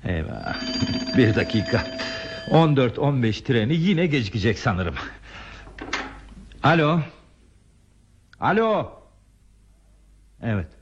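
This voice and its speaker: An actor playing an earnest, anxious railway stationman in a radio play, with a husky voice. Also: medium pitch, big pitch swings, slow, pauses often, background noise. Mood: flustered, alarmed. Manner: earnest, repeats words when flustered. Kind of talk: Turkish radio theatre acting. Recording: muffled old radio drama recording.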